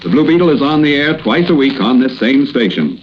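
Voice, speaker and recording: Crisp 1940s radio announcer, noisy broadcast. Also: male voice, mid-range voice, very animated, a little fast, dull audio. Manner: crisp, formal promo read.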